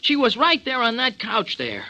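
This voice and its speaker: An eager, streetwise male sidekick in an old-time radio drama. The voice is high and very sharp, leaping up and down as he pleads earnestly in slangy speech. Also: huge pitch swings, moderate pace, heavily acted. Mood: pleading, defensive. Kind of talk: 1940s radio acting.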